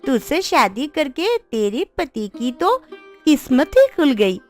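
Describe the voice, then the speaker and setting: A high, animated voice from a woman acting a chatty village gossip in a cartoon tale. She takes her time, stretching words expressively and teasingly. Music plays behind her. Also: slow pace, exaggerated voice. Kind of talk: cartoon moral story dialogue.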